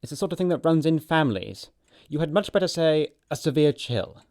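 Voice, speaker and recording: An actor playing a witty, flippant young upper-class English dandy with an arch, playful delivery, in an audio production of a stage comedy. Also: male voice, fairly high pitch, lively intonation, a little fast, a little mellow. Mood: teasing.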